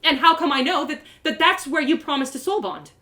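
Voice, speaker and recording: Casting: woman as desperate young storybook heroine, high and swooping, stammering, stubborn, urgent. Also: animated, a little fast, pushed and bright. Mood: desperate, pleading. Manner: stammering, urgent, repeats words. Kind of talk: storybook character voice in a live reading.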